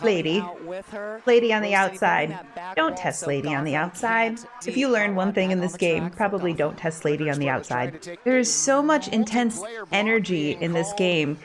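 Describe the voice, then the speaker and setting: A woman giving casual, playful sports commentary on a game, expressive and quick to act out what players might be thinking. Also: mid-range voice. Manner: playful, expressive, voices imagined thoughts of players. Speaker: roller derby commentator and former player. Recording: crowd noise behind the voice. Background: roller derby game crowd.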